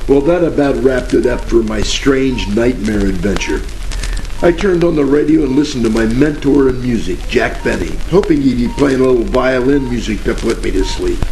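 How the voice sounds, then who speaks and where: An actor playing a cocky, wisecracking police detective in a parody of 1940s radio mysteries, with wry asides and old-time slang. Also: male voice, medium pitch, moderate pace, darkish tone, echoey room, natural voice. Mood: musing narration. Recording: music behind, crackly old-radio style.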